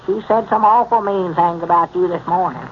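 A high-voiced old country storekeeper, acted by a man, rambling and evasive in a rural Southern accent. He hems and haws in folksy 1930s radio comedy style. The recording is muffled, with clear noise. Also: fairly quick, natural voice. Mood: evasive, earnest.

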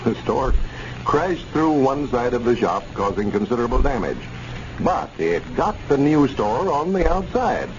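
Lively 1930s radio announcer, man. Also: mid-range voice, moderate pace. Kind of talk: scripted radio narration. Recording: dull 1930s radio transcription with hiss.

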